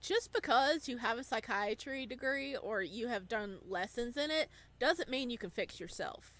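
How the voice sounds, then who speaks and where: A woman's voice: fairly high pitch, a bit bright. Playful woman reading and reacting to a game. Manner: playful, reacts with cooing and chuckles. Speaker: let's player reading a visual novel aloud and commenting. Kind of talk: expressive read-aloud with casual commentary.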